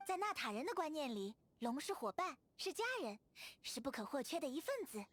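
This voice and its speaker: A woman voicing a cheerful, outgoing teenage girl in a video game, friendly and expressive. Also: high-pitched, brisk. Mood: cheerful, affectionate. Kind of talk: game character voice demo.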